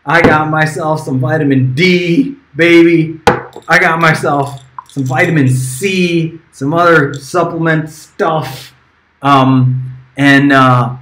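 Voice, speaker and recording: A man's voice: fairly high pitch, a bit bright. A middle-aged man chatting casually on a livestream in a rambling, informal way that drifts from one aside to the next. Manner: rambling, informal, easily sidetracked, chuckling now and then. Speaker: musician livestreaming to friends and fans.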